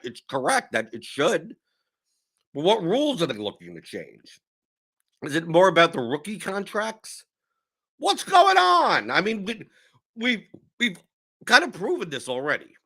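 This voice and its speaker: A young man, hoarse with a sore throat, hosting a casual fantasy sports livestream, rambling and cutting himself off. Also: on the high side. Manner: animated, rambling, self-interrupting. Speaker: host of a fantasy sports stream.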